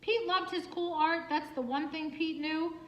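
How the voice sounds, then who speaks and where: Slow, high-pitched woman reading to children. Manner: expressive, singsong, asks listeners questions. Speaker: children's librarian reading picture books. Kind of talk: animated storytime read-aloud with asides to young listeners. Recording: roomy, echoing room sound.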